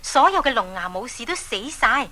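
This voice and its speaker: A woman with a Hong Kong accent narrating a dramatised children's story in an exclamatory, animated way. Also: a little high, normal speed.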